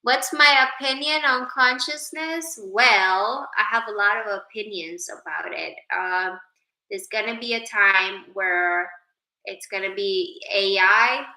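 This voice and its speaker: Slow, bright-voiced woman chatting on a livestream. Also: mid-range voice, reverberant, poor audio. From Sweden. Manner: chatty, reads viewer names aloud, drifts into spiritual musing. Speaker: livestream host. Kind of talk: casual livestream chat reading comments.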